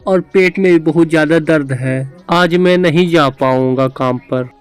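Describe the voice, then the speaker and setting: A man's voice: a little high, measured pace, clear, resonant tone, faint music behind, lightly acted. A man voicing a poor, henpecked village fisherman in a cartoon story, meek and plaintive. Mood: weary.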